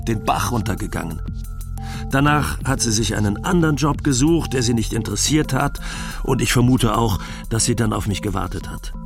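A man's voice: medium pitch, creaky, close to an everyday voice. A man playing a frank German husband in a documentary radio play, talking colloquially and matter-of-factly, as in a monologue. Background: music.

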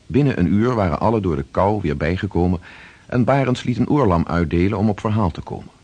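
A deep, husky voice, close and dry, as a grave seafaring chronicler in a radio play. He recounts hardship in measured narration. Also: lively intonation, a little mellow, dry recording. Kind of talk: scripted radio drama narration.

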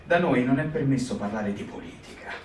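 A man's voice: mid-range voice, expressive, brisk, a little mellow, slight background noise, voice pushed down, lightly acted. A man voicing a stern priest who commands a band of partisans, speaking firmly and solemnly. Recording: roomy live stage, distant mic.

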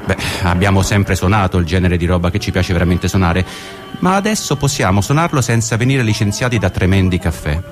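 Male radio performer, slightly low voice, scripted monologue over music. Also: fairly quick. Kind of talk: dramatic reading of a translated monologue. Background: guitar music.

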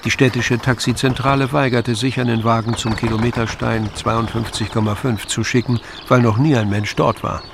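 A man narrates a story from a literary script. His voice is mid-pitched with plenty of fry, and there is clear background noise behind it. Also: fairly even intonation, moderate pace, few pauses.

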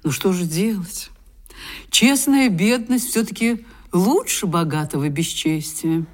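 Slow-speaking, tender motherly countess. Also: fairly low pitch, lively intonation. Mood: compassionate, solemn. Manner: tender, effusive, theatrical. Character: kindly, tender, emotional widowed countess, motherly benefactress. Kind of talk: Russian radio drama acting.

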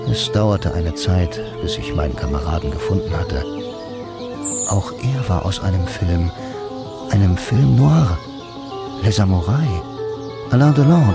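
An actor playing a blind, brooding film-noir loner who narrates a radio drama in terse, dreamy lines. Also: male voice, low-pitched, creaky, exaggerated voice. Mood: pensive. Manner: terse, lyrical sentences. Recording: music under the voice. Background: music.

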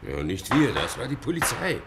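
An actor playing a skeptical, nervous henchman in a vintage radio play, excitably raising objections. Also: medium pitch, moderate pace. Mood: nervous, insistent. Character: jittery, skeptical gangster henchman. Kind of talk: 1950s German radio play acting. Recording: noisy, clicky old radio recording.

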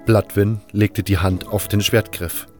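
A man's voice: lowish voice, little pitch movement, a little slow, a little mellow. A man narrating a scripted first-person adventure story for a fan audio drama. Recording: music under the voice. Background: music.

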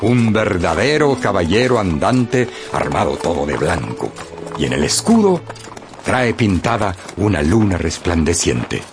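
A man narrating a scripted story for radio as an expressive storyteller. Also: low-pitched, measured pace, over music.

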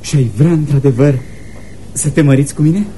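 An actor playing a shy, earnest, devoted young sailor in love, speaking hesitantly and tenderly in a radio theatre style. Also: male voice, a little high, mellow, slight background noise, lightly acted.

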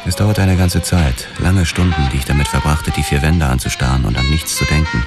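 An actor playing a haunted, brooding detective who narrates a radio drama in a grave, measured way over music. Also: male voice, extremely low pitch. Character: brooding, haunted male detective recounting a murder case. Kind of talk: first-person noir radio drama narration. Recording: music under the voice.

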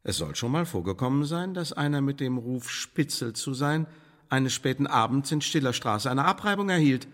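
Male actor as Elizabethan secret service chief, radio play, ornate officialese, veiled menace, medium pitch, reverberant room. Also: lively intonation, normal speed, fairly bright tone, lightly acted. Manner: formal, pompous, ornate officialese with veiled threats. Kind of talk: German radio drama acting.

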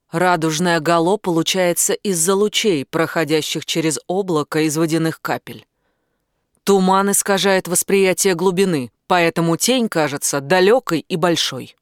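A woman's voice: low-pitched, dry recording, clean studio sound, close to an everyday voice. A woman reading an audiobook, voicing a blunt, commanding and protective man who explains things firmly. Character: angry, protective British man, outdoorsy and knowledgeable. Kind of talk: audiobook character dialogue.

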